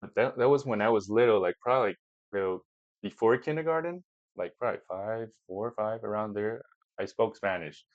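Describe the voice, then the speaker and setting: Low-voiced man, halting answers. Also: expressive, no echo, highs cut off. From Peru. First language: Chinese. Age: 20s. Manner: halting, many restarts and fillers. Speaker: podcast guest. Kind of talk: casual interview answers.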